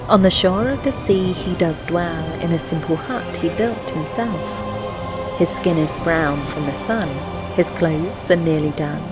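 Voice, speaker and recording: A woman with a fairly low voice narrates a fantasy game tale in rhyming verse. Her delivery is measured and poetic, like recited storytelling. Music plays clearly behind her. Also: poor audio. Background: background music.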